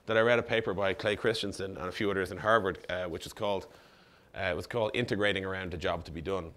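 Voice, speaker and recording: A man's voice: sits low, fairly even intonation, fast, fairly bright tone. A man in his thirties with a slightly worn voice gives an informal talk on stage, casual and full of asides and quoted dialogue. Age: 30s. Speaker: startup founder giving a conference talk. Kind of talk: informal presentation to an audience. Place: on stage in an indoor event room. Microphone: lapel mic.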